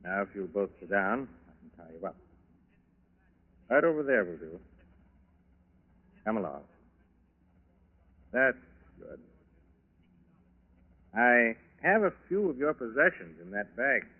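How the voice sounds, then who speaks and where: An actor playing a polite, cool-headed armed burglar in 1940s radio style, speaking courteously and unhurriedly. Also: male voice, on the low side, sing-song, exaggerated voice. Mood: politely regretful. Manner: courteous, unhurried, chatty while menacing. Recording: muffled 1940s radio broadcast recording.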